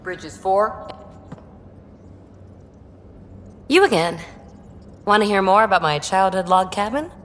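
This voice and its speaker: Woman as a lively, cheeky explorer in reverb. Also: medium pitch, normal speed, lightly acted. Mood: teasing, guarded. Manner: talkative, flippant, animated. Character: chatty, cheeky, secretive female explorer scientist. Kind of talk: video game character dialogue.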